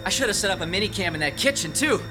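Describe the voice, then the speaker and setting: A man playing a brash, sceptical, excitable TV cameraman in a radio drama, with a blunt, sarcastic, slangy way of talking. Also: high voice, very fast. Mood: excited. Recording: music behind the voice.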